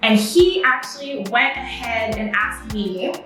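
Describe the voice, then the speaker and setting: A young woman whose first language is French talks to her viewers in a chatty, upbeat way as a makeup artist. Her voice is bright and forward. She sits back from the mic in a very echoey room, with soft music under her. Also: on the high side, lively intonation, unhurried, recorded from a distance. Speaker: beauty vlogger and makeup artist. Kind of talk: casual to-camera vlog. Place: indoor home studio with a makeup setup.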